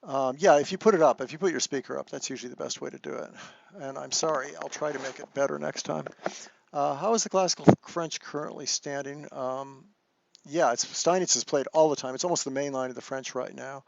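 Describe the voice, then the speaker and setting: A man teaching a live online chess lesson, soft-spoken and thinking aloud as he walks through moves, with frequent restarts. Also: medium pitch, a little fast, creaky, no echo, dull audio. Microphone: desktop microphone.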